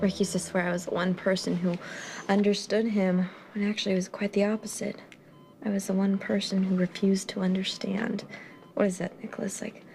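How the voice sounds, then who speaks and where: An actress playing a hurt, bitter young wife in a TV soap, speaking in a halting, fragile way. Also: female voice, mid-range voice, flat delivery, creaky, darkish tone, a little hiss or hum, natural voice. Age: young adult. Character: wounded, bitter young wife in a hospital bed. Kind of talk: TV soap opera acting.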